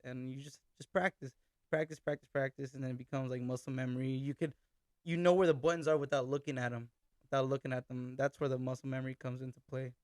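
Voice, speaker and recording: A young man, a band's singer, chatting casually on a podcast, telling relaxed stories and mixing Spanish phrases and swearing into his talk. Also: medium pitch, fast talker, no room sound. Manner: laid-back storytelling, mixes Spanish phrases and swearing. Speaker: band vocalist and accordion player.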